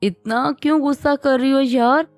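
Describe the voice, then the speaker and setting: A woman's voice: mid-range voice, expressive, moderate pace, dry recording, faint music behind, close to an everyday voice. Woman reading as a teasing young husband. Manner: bantering, teasing.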